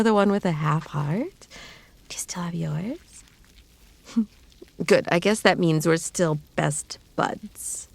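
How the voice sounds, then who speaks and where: A woman acting a playful, affectionate snake-woman in an intimate roleplay audio, teasing and cozy, often slipping into breathy whispers. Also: on the low side, full character voice. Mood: cheerful, nostalgic.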